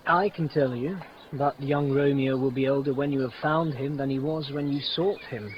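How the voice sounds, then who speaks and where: A man's voice: mid-range voice, steady tone, normal speed, close to an everyday voice. An actor playing an earnest, lovesick young man in a 1950s radio Shakespeare play, with a poetic, ardent delivery. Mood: courteous. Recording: dull, noisy old radio recording.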